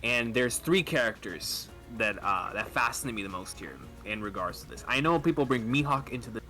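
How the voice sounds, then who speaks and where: A man talking casually and enthusiastically as a fan on a podcast, halting and rambling as he lays out his thoughts. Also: mid-range voice, lively intonation, pushed and bright, soft background music. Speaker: podcast speaker discussing manga theories.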